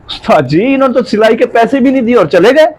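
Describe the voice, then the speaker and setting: Poor honest tailor, male actor, high voice, humble and pleading, moral drama. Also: lively intonation, fast, darkish tone, slight background noise. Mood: aggrieved. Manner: deferential, pleading. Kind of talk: moral story drama acting.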